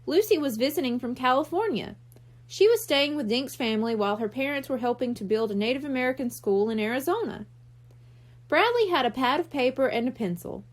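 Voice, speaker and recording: Animated, high-voiced woman reading a story. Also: a little fast. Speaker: audiobook reader. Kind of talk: animated children's story narration.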